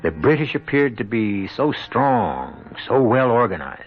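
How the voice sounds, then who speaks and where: A man hosting and narrating a radio mystery drama in a theatrical, deliberate, suspenseful manner. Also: medium pitch, sing-song. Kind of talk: theatrical scripted narration, old-time radio. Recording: muffled vintage radio recording with music.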